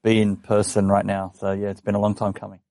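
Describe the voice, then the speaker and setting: A man, a young adult podcast guest, telling his life story in a relaxed way with lots of fillers and small laughs. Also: lowish voice, quick pace, gravelly, no room sound. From Australia. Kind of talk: casual interview chat. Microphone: studio microphone.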